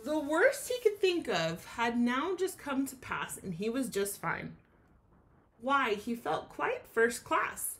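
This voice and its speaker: A woman teacher giving an expressive children's read-aloud lesson, pausing to ask questions and explain. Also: high-pitched.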